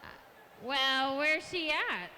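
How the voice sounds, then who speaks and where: A woman's voice: sits high, expressive, slow pace, bright, forceful tone, slight background noise. A woman announcing a live sports event in a playful, teasing, theatrical way.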